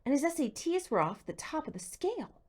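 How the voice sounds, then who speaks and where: A woman's voice: high-pitched, sing-song, brisk, voice pushed up. Woman playing a chatty, lilting teenage schoolgirl. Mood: gossipy. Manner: gossipy, casual.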